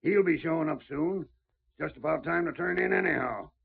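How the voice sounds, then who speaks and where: An actor playing a gruff, bossy outlaw leader, blustering in folksy American cowboy talk in old radio style. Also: male voice, fairly high pitch, moderate pace, exaggerated voice. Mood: dismissive. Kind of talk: old-time radio western acting. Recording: tinny, band-limited vintage radio recording.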